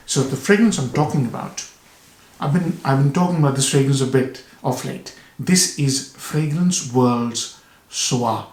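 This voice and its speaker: A man with a cold, hoarse and with a blocked nose, reviewing a fragrance to camera with enthusiasm, repeating words and pausing for breath. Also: medium pitch. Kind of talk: casual product review to camera. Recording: echoey room.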